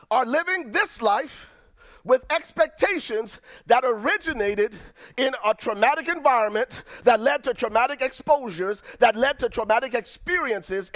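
A middle-aged man with a Liberian accent, preaching a sermon as pastor, swinging from near-shouts down to low, quiet lines. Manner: preaching with dramatic swings, rising to shouts then dropping low.

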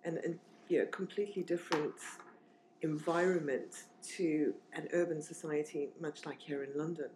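A woman's voice: low voice, fairly even intonation, normal speed, darkish tone, slight background noise, poor audio. Chatty woman in her forties. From southern Africa. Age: 40s. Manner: chatty, reflective, frequent fillers and restarts. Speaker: guest. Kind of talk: casual interview chat.

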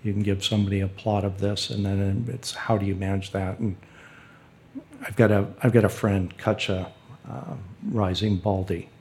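A man with a low, mellow voice talks off the cuff on a panel. His pitch stays very level, and his phrasing is plain and a little halting. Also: level tone. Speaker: panelist, state park official. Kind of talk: unscripted panel talk.

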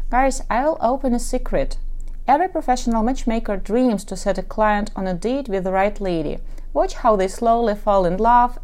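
A woman's voice: medium pitch, a little mellow. A woman from Ukraine whose first language is Russian, speaking English with an accent, who works as a matchmaker and dating coach and gives advice in a podcast monologue. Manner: advice-giving, lists examples at length.

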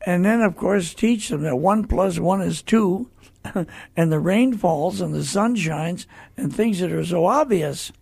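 Male radio host, American, very creaky and husky, folksy and earnest with listeners. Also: high voice, measured pace, darkish tone. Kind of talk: conversational religious talk radio.

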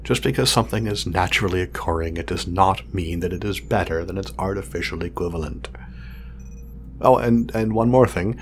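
Guy acting as a pompous scientist, low voice, formal and condescending, audio drama, humming lab noise behind. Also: brisk. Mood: smug, condescending. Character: pompous male craftsman of artificial companion drones, exacting, proud. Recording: clear background noise, sci-fi lab ambience.

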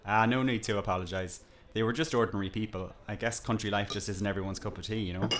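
A man acts out a village barkeep for an audio drama. His voice sits on the low side, and he talks in a folksy, wry way. He is chatty and politely nosy, always ready to tease. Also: steady tone, fast talker, fairly bright tone, lightly acted. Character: chatty, wry village pub landlord with a teasing streak.

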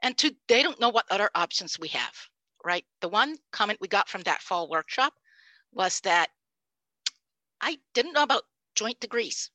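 A woman's voice: mid-range voice, expressive, normal speed, sharp tone, no echo, rough recording. A woman in her fifties from Puerto Rico, a native Spanish speaker, giving a conversational conference talk over a video call and often checking in with "right?". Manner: conversational lecturing with frequent "right?" and fillers. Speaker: professor and panelist.

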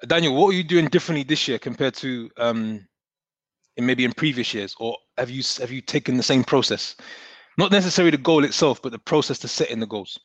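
A man's voice: medium pitch, expressive, a little fast, no echo. Man hosting, firing off questions, dry sound. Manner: moderating, fires off questions, rephrases answers back. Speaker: host. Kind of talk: casual discussion.

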